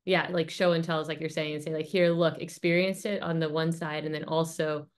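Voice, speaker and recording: A woman's voice: low-pitched, level tone, brisk. A woman in her thirties chatting informally as an interviewer, affirming and conversational, on a video call from a small room. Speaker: interviewer, host. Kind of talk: casual interview chat. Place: small home office, indoors.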